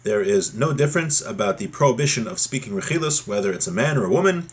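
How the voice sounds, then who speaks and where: A man's voice: mid-range voice, lively intonation, fast, slightly rough. A man giving an informal religious lesson as a teacher, explaining in a conversational way. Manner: conversational, explanatory, checks in with "right?". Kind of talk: informal religious lesson, reading a text aloud then explaining it.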